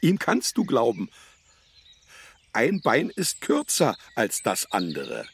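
An actor in a children's radio play playing a sly, worldly-wise older seafarer who teases and needles in sayings. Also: male voice, medium pitch, huge pitch swings, takes it slowly, vocal fry, slightly rough, exaggerated voice. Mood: sly, knowing. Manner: teasing, needling, theatrical, speaks in sayings.

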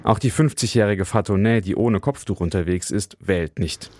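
Deep-voiced male radio correspondent. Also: a little mellow, no echo. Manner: measured, matter-of-fact delivery. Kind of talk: scripted news report.

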